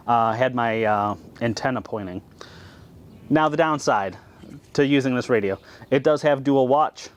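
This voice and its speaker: American man in casual vlog talk, faint noise. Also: medium pitch. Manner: says call signs in phonetic alphabet. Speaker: amateur radio operator and video host. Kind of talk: casual vlog talk and radio call-sign exchanges.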